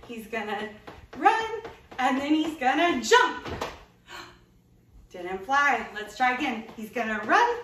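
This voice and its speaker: Bright young woman coaching kids, echoey. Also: on the high side, a little hiss or hum. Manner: upbeat, sing-song, counting out moves. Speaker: kids' fitness coach. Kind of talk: children's exercise story. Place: bare indoor hallway. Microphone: camera across the room. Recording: echoey room, voice from a distance.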